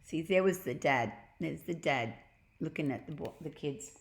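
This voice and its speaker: A woman's voice: deep voice, normal speed, creaky. A woman reading a children's storybook aloud, adding explanatory asides for young listeners. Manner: explains pictures and words to children. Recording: roomy, echoing sound.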